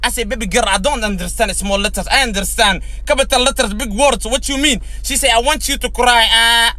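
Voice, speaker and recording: A man telling a comic story to the camera in a loud, animated way. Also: very high-pitched, a little fast, no room sound.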